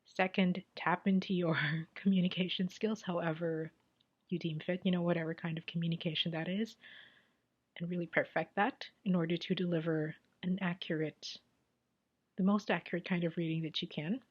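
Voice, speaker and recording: A woman's voice: fairly low pitch, fairly even intonation, moderate pace, highs cut off. A Filipino woman, native in Tagalog, talking casually as a tarot reader giving advice, repeating phrases for emphasis and often dropping to a near whisper. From Philippines.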